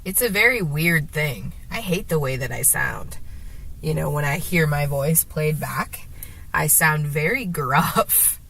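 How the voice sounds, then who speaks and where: A woman with a sore throat and a gravelly, deeper voice, rambling hesitantly to the camera from her car, with many fillers and restarts. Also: animated, a little slow, a bit bright. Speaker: vlogger. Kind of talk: rambling casual monologue while driving.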